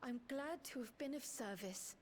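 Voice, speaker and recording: A woman's voice: high-pitched, expressive, normal speed, slight background noise. A woman voicing a polite, determined young scholar and magic user in a video game, speaking in a formal, articulate, earnest way. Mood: courteous. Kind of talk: video game cutscene acting.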